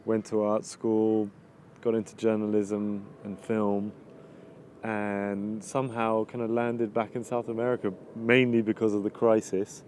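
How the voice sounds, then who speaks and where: A man with an English accent, answering interview questions in a halting, reflective way, often restarting sentences while he searches for words. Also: lowish voice, flat delivery, a little mellow, slight background noise. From England. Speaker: videographer interviewee. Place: outdoors overlooking the ocean.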